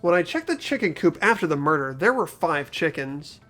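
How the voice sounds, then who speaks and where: A man plays an earnest, anxious teenage boy in a mystery game. The voice sits high and swings widely as he questions and reasons, with music playing behind him. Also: sing-song, normal speed, sharp tone. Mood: reasoning. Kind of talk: fan-voiced visual novel game dialogue.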